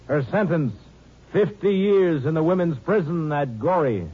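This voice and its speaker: A man's voice: on the high side, expressive, a little slow, background noise. A man announcing formally and solemnly in 1950s radio style. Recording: muffled 1950s radio recording, music behind.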